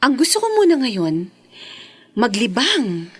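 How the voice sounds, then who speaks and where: A woman's voice: a little high, wildly swooping pitch, slow, husky, full character voice. An actress in a Philippine radio drama playing a self-assured, vengeful rich young woman who slips English phrases into her Tagalog. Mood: smug, bitter.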